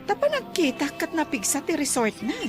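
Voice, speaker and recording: Casting: earnest, troubled madam for radio drama, high and very expressive, music underneath. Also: female voice, moderate pace. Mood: worried. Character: worried madam owning a struggling resort. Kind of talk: Ilocano radio drama acting.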